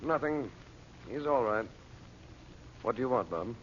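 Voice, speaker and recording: An actor playing a masterful magician hero in an old radio serial, speaking in a commanding, deliberate way. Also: male voice, medium pitch, animated, natural voice. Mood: brisk, guarded. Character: masterful male magician hero who compels minds. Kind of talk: 1940s radio serial acting. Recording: very noisy, muffled old radio recording.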